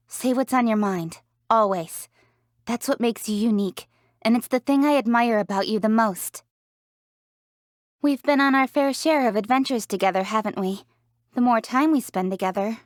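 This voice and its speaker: A woman's voice: fairly high pitch, no echo, studio quality, natural voice. A young woman voicing a diligent, proud young city official in a video game, speaking in an articulate, assertive, matter-of-fact way. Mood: firm, businesslike, then sincere, appreciative. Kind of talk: scripted video game character voice lines.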